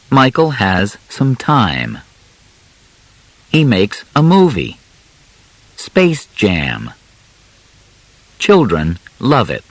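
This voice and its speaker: A man reading a scripted audiobook in simple, measured phrases with clear pauses. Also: deep voice, dry recording. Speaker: audiobook narrator. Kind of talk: scripted narration of a simplified biography for learners.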